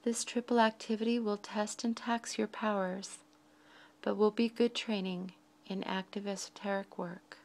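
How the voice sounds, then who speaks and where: Soft-voiced woman guiding a meditation. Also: mid-range voice, fairly even intonation. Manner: measured, deliberate, with frequent pauses between short phrases. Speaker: meditation guide. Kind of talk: slow, soft guided meditation.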